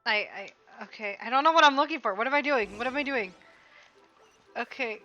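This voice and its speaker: A woman's voice: fairly high pitch, fairly quick, sharp tone, muffled recording, faint music behind. A woman live-streaming a game, chatting casually and muttering self-deprecating reactions.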